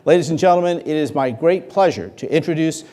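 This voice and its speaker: A man hosts a live town-hall interview, his mellow, relaxed voice steering the questions in a formal way. His words ring out with the long reverb of a large hall. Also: a little high, brisk. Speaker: talk show host. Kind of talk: hosting a live interview before an audience.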